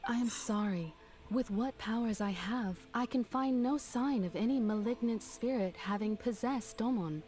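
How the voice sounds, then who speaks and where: An actress playing a calm, formal shrine maiden in a game, speaking in a measured, courtly way. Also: female voice, fairly high pitch, faint music behind, natural voice. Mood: composed. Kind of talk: game dialogue.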